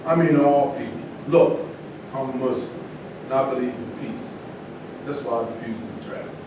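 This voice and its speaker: A man's voice: steady tone, normal speed, lightly acted. A man in a staged reading, playing a proud, combative boxing champion who stands on his beliefs. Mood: earnest. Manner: combative, emphatic. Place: indoor room at a staged reading. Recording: echoey room, distant mic, noisy rumble.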